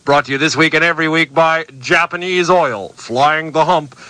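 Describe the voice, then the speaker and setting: A man's voice: on the high side, normal speed, bright, forceful tone, no echo. A man giving a parody show sign-off in an announcer cadence. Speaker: mock announcer.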